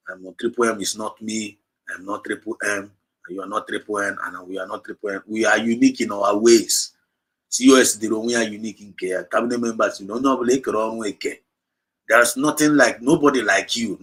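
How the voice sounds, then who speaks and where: A man's voice: on the low side, fairly even intonation, brisk, fairly bright tone, husky, poor audio. A man with a Nigerian accent hosting a livestream, exhorting his listeners and slipping between English and Igbo as he repeats his points. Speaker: livestream host. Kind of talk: impassioned livestream talk.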